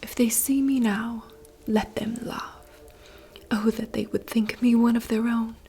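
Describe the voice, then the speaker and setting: A woman voicing a wistful young princess in a soft, slow, poetic whisper. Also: fairly high pitch, fairly even intonation, slight background noise. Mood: yearning. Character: wistful young princess longing for wild woods. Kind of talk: whispered monologue reading.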